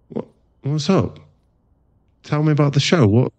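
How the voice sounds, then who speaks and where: A man's voice: on the high side, darkish tone, no room sound. A man playing a playful, affectionate, laddish werewolf boyfriend in a casual, teasing ASMR roleplay. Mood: sweet, curious. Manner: casual, laddish, teasing, drops into murmured asides.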